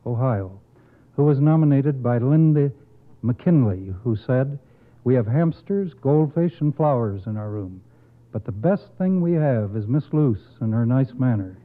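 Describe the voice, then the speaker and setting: A man delivering a formal, measured prepared speech as an awards committee spokesman. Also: medium pitch, expressive. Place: radio broadcast. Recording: muffled phone-like old radio recording.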